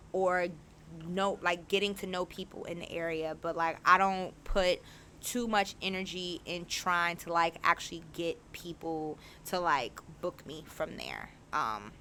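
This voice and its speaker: A young woman in her twenties hosting a podcast, talking casually and frankly, her voice a little raspy as it recovers. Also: on the low side, slight background noise. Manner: chatty, frank, animated storytelling. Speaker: podcast host. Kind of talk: casual candid monologue.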